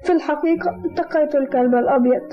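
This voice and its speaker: A woman's voice: sits high, racing, natural voice. A woman voicing an earnest, innocent, tender-hearted little boy in an Arabic cartoon dub. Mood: sincere, soft. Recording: muffled old cartoon audio, music behind.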